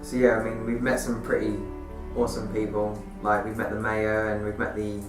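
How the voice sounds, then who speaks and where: A nineteen-year-old man, a youth work apprentice, answering interview questions hesitantly with many pauses. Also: fairly low pitch, monotone. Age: young adult. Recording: roomy, mic far off, music behind.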